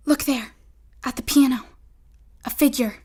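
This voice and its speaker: An actress playing a protective, determined young big sister in a period melodrama, hushed and urgent. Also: female voice, high voice, expressive, slow pace. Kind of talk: period melodrama audio drama acting.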